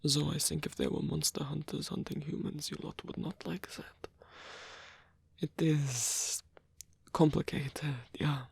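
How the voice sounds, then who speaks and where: A man voicing a lonely old male vampire in an ASMR roleplay, gentle, rambling and bookish, with a soft, breathy, creaky voice and a halting, musing delivery. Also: fairly high pitch, steady tone, no echo, exaggerated voice. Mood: rambling, apologetic. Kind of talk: ASMR roleplay audio addressed to the listener.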